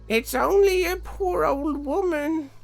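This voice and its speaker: A man voicing a sly old peddler woman in a squeaky, coaxing storybook voice. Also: slow pace, creaky, soft background music, exaggerated voice. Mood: sly, humble. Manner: coaxing, wheedling.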